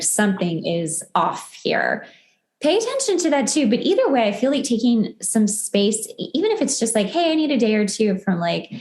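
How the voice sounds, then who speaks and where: Female podcast host, fairly high voice, echoey room, informal and chatty. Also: a little mellow. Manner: informal, heavy filler "like", self-quoting asides. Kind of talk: casual conversational podcast talk. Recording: roomy, echoey sound.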